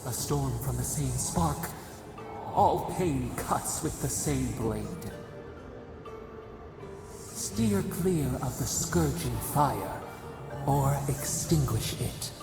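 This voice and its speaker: An actor voicing an ancient, grieving spirit in a video game, speaking as we in cryptic, halting, solemn phrases with a gravelly voice. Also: medium pitch, lively intonation, full character voice. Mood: ominous, warning. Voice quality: gravelly, creaking. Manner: slow, cryptic, incantatory, halting short phrases. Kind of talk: solemn dramatic game voice acting. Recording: heavily reverberant echoing voice, music behind.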